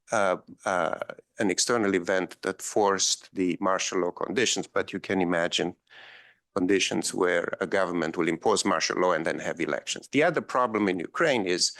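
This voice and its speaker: A man's voice: lowish voice, creaky, fairly bright tone, no room sound. A man from Romania giving a policy panel talk, with frequent ums and wry asides. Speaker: panel speaker.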